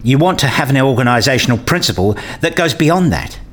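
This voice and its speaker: A man's voice: medium pitch, lively intonation, a little fast, fairly bright tone. A man playing a wry, bookish academic who narrates and talks in a radio play, with dry, wordy scripted delivery. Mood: conversational. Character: wry male academic narrating his own puzzled days. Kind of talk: scripted first-person narration and dialogue.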